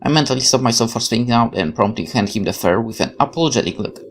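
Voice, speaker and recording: A man's voice: medium pitch, expressive, fast, slight background noise. A man playing a game for viewers, reading visual novel narration aloud like a dramatic storyteller and adding musing commentary. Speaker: let's player.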